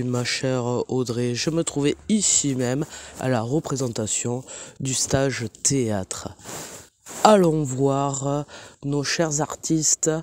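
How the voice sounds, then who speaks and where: A man's voice: medium pitch, darkish tone. A man acting as a field reporter, giving a scripted report and asking short interview questions.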